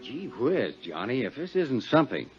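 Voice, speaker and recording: An actor playing an excitable, folksy male insurance company man in a 1950s radio drama, exclaiming and questioning. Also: mid-range voice, moderate pace, over music, natural voice. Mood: concerned. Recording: dull 1950s radio recording.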